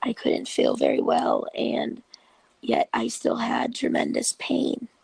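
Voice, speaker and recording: Raspy sick-sounding vlogger w a cold, super slow and careful, kinda whispery, dry close sound. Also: medium pitch, wildly swooping pitch, darkish tone, no echo, poor audio. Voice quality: raspy, gravelly and breathy. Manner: slow, patient explaining with half-whispered stretches. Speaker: video host and patient support group leader. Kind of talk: informal explanatory vlog.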